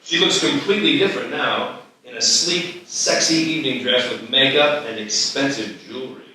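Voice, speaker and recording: Bright-voiced male narrator in echoey hall. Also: medium pitch, normal speed. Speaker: stage direction narrator. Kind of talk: scripted narration at a live table read. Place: live festival reading in an echoey room. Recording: echoey hall, distant mic, faint noise.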